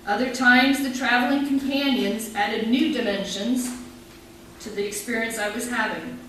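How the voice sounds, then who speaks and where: A middle-aged woman pastor giving a sermon, telling her story in a measured, reflective way and reading scripture. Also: on the high side, fairly bright tone. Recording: very echoey room, from far off, faint noise.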